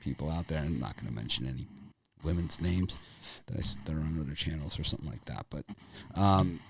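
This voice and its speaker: A man with a raspy voice hosting a sports betting show, talking casually in a rambling, opinionated way with lots of fillers. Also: a bass voice, a little fast, slight background noise.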